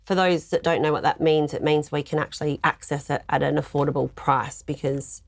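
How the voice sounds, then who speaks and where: Husky-voiced Australian woman hosting. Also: low voice, steady tone, a little fast. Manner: chatty, laughs often, echoes the guest. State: husky voice today. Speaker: podcast host. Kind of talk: casual interview chat.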